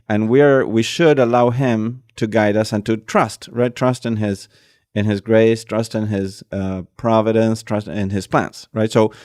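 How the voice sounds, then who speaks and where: A man's voice: on the low side, a little mellow, smooth, no echo, studio quality. A man hosting a conversational interview, often checking in with short tag questions. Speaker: podcast host.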